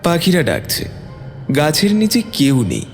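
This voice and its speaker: Expressive male story narrator. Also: fairly high pitch, a little slow. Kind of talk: scripted dramatic narration. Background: soft background music.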